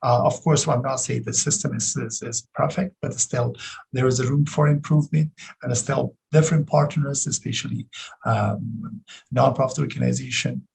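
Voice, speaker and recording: Raspy, halting man on a call. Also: mid-range voice, fairly even intonation, a little mellow, rough recording. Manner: halting, repeats himself. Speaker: panel speaker. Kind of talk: panel remarks.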